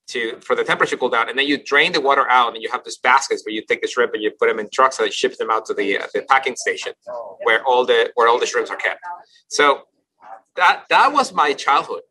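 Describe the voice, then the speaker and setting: A man's voice: medium pitch, bright, forceful tone, poor audio. A man from Ecuador, a company CEO, telling his life story as a podcast guest, thoughtful, often restarting and repeating words. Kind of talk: casual interview storytelling.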